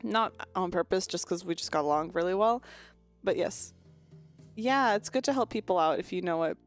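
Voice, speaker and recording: A woman's voice: lowish voice, moderate pace. Young woman streamer just chatting, rambly and blunt, swears a bit, faint music behind her. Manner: candid, rambling, frequent "like" and "um", swears casually. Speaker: VTuber streamer. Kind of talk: casual chat answering viewer questions.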